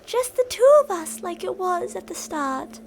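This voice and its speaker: A woman's voice: extremely high pitch, expressive, normal speed, slight background noise. A woman playing an obsessive, possessive female fan turned kidnapper in an intimate, dramatic ASMR roleplay monologue. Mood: smug, fixated. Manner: intimate, swinging between insistent pleading and soft whispery menace.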